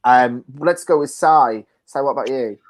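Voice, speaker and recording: A man's voice: mid-range voice, moderate pace. A man hosting a casual panel chat, putting questions to his guests and steering the conversation. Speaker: talk show host.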